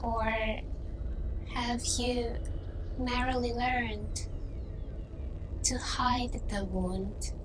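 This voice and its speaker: Noticeable noise and ambience sit behind a gentle alien woman, acted out by a woman. She speaks extremely slowly in a fairly high voice, softly and deliberately. It is an ASMR roleplay in which she probes a potential partner with patient, tender care. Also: fairly even intonation. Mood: tender, probing. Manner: soft, deliberate, pausing between short phrases.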